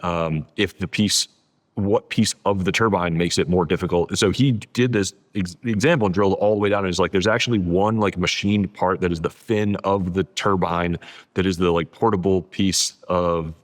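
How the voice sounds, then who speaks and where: A man with a low voice talks through ideas as a guest in a casual interview. He leans on "like" as he goes and piles up words for emphasis. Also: steady tone, moderate pace. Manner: peppers sentences with "like", emphatic repetition of adjectives. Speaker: podcast guest, author. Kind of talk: casual interview conversation, explaining.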